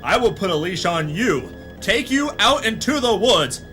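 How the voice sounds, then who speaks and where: A man acting a hard-boiled pulp adventure hero, pitched slightly high for a man and drenched in reverb. He is pompous and deliberate, narrating like an old radio serial, with music clearly playing behind him. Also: very animated, bright, forceful tone, heavily acted. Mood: gruff, threatening. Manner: melodramatic, grave, deliberate hard-boiled delivery. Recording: reverberant voice over background music.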